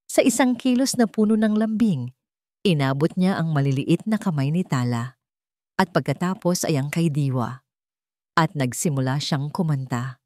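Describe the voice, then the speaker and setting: Female narrator, lower register, emotive and earnest, lively intonation for scripted romantic drama. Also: no room sound, clean studio sound. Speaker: storyteller. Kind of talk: dramatic scripted narration of a sentimental love story.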